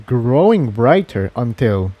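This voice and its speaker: A male narrator reads adventure fiction in a dramatic audiobook style. His voice is mellow and mid-pitched, with animated intonation. The sound is dry and close. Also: slow pace, no echo.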